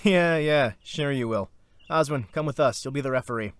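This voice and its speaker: A man's voice: mid-range voice, moderate pace, smooth, no room sound, lightly acted. A man playing a wry, teasing young big brother in an audio drama, sarcastic and playful. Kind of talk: audio drama character acting.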